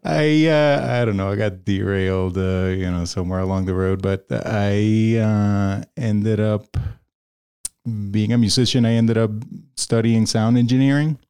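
A man's voice: lowish voice, measured pace, darkish tone, clear, resonant tone. A man from Venezuela, a guest on an interview show, chatting casually and rambling with lots of fillers and restarts. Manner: rambling, many fillers and restarts, laughs often. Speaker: podcast guest, freelance video producer.

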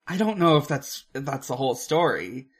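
A man's voice: medium pitch, big pitch swings, moderate pace, husky. A man co-hosting a podcast in casual chat, speaking hesitantly with many filler words. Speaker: podcast co-host. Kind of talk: casual chat about films and video games.

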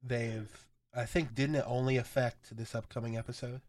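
A man's voice: mid-range voice, little pitch movement, moderate pace, a little mellow. A man co-hosting a podcast while sick, his voice a little off, rambling in casual chat. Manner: rambling, repeats words as he talks. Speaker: podcast co-host.

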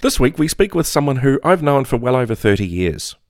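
A man hosting a podcast, introducing his guest and asking measured questions. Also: medium pitch, very animated, a little mellow. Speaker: podcast host, interviewer. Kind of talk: podcast interview.

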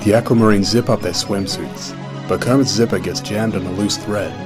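A man's voice: lowish voice, a little fast, music in the background. A man delivering scripted, mock-epic narration for a radio drama. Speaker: podcast host narrating.

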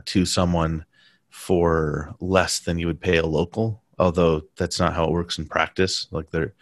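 A man talking casually in a conversation, pausing and restarting his sentences often. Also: sits low, gravelly, no echo, studio quality. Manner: halting, many restarts and fillers.

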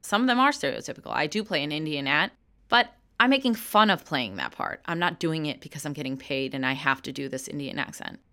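A woman's voice: sits low, animated, fairly quick, bright, forceful tone. A woman in her thirties, an actor and comedian, answering interview questions frankly and laughing easily. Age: 30s.

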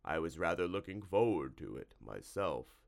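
A man voicing a huge slimy storybook monster, slow-witted and easily spooked, with a drawn-out, ponderous delivery. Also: low voice, very animated, creaky, exaggerated voice. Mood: hungry, musing.

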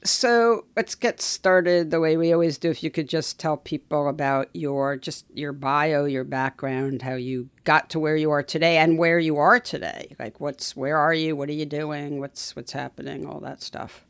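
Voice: mid-range voice, fairly even intonation, moderate pace, few pauses, clean tone, dry recording. A podcast host chatting with a co-host and interviewing a guest, enthusiastic and chatty, with plenty of fillers and restarts. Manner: enthusiastic, warm-hearted, chatty with many fillers. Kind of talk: conversational podcast chat.

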